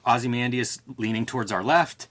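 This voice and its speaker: A man's voice: mid-range voice, fairly quick, fairly bright tone. A man co-hosting a review show, thinking aloud in casual, conversational analysis. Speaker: co-host of a comics review show.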